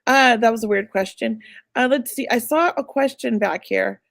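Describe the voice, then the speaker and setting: A woman hosting a live psychic reading, chatty and reassuring, going a bit hoarse as she loses her voice. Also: on the high side, normal speed, no room sound, studio quality. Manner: chatty, reassuring, many filler words. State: losing her voice. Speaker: psychic medium host.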